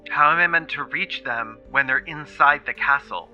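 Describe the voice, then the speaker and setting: A man's voice: a little high, moderate pace, pushed and bright, soft background music. A man voicing a delusional young would-be assassin in a re-enacted chat, with a muffled radio-like sound. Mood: grimly intent.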